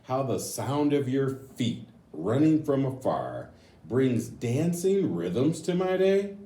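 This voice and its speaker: A man reading a picture book aloud to children, gently explaining with chatty asides in a roomy, echoing recording. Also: mid-range voice, big pitch swings, slow pace. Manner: gentle, explanatory, addressing young listeners.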